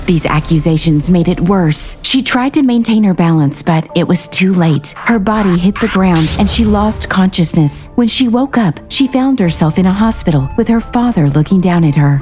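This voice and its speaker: A woman's voice: medium pitch, animated, normal speed, hardly any pauses, a little mellow, soft background music. A woman narrating a scripted audio drama as the storyteller.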